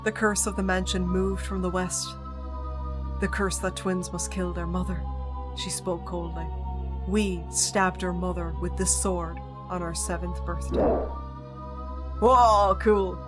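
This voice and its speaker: A woman streamer reading a visual novel's text aloud in an even voice, breaking off for playful asides to her viewers. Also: fairly low pitch, a little slow. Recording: music playing clearly behind the voice.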